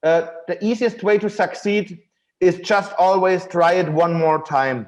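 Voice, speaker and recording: A man's voice: sits high, a little slow. A man with a German accent, a guest author on a podcast, telling his story earnestly and with enthusiasm. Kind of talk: podcast interview.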